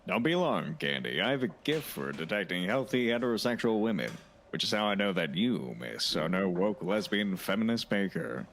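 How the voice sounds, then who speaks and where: Man acting a pompous deep-voiced detective, swooping delivery. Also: normal speed, slight background noise, full character voice. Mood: smug, courtly. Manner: boastful, formal, deadpan. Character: very tall, pompous male detective and sausage artist. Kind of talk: comedic audio drama.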